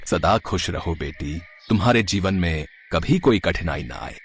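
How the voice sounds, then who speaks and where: A man's voice: sits low, lively intonation, measured pace, slight background noise. A man voicing a kindly, wise old holy man in a moral story, solemn and full of blessings.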